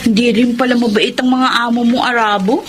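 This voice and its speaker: A woman's voice: on the high side, steady tone, brisk, slight background noise, natural voice. A young woman with a Filipino accent playing a sympathetic, curious friend in a TV drama, conversational and inquisitive. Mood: friendly, sympathetic.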